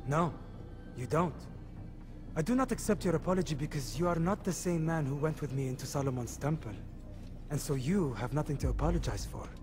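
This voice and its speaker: Male actor as candid assassin comrade, fairly high voice, formal, pointed, video game drama, background music. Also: a little fast, close to an everyday voice. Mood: earnest, forgiving.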